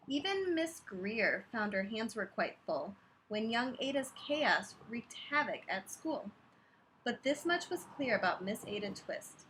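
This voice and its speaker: Animated woman reading a picture book aloud. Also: fairly high pitch, slight background noise, poor audio. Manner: animated, pauses to comment and ask listeners questions. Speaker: children's story reader.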